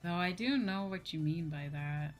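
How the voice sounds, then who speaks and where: A woman's voice: on the low side, moderate pace, faint music behind. A slightly sleepy woman hosting a livestream, chatting casually and informally with her viewers. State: a little sleepy. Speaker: livestream host, VTuber.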